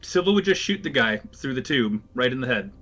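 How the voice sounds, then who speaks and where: A man's voice: mid-range voice, animated, a little fast, pushed and bright, soft background music. A man playing a tabletop roleplaying game, talking in plain casual chat. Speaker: player.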